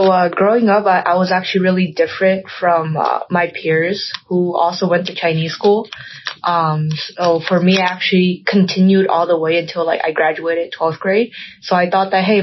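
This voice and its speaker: A woman's voice: lowish voice, dull audio. A young woman guest in a casual podcast chat, rambling and filling her talk with "like" and "um". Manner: rambling, heavy use of "like" and "um".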